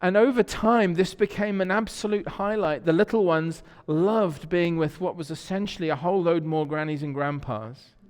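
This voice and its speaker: Pastor preaching in a reverberant church. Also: male voice, on the high side, normal speed, hardly any pauses, clean tone. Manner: warm storytelling, repeats words when searching. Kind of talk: conversational sermon. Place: church sanctuary.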